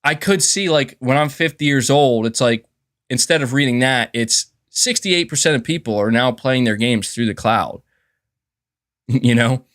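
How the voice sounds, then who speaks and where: Brief: male livestream host, mid-pitched voice, relaxed and talkative, laughs easily. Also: a little slow. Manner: chatty, chuckles often, says "dude" and "man". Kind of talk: casual livestream commentary.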